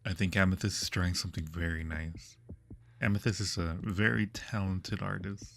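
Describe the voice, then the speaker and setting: A man's voice: low-pitched, fairly even intonation, normal speed, creaky, a bit bright, slight background noise. A man hosting a casual game livestream, chatting in a supportive, reassuring way. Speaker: livestream host.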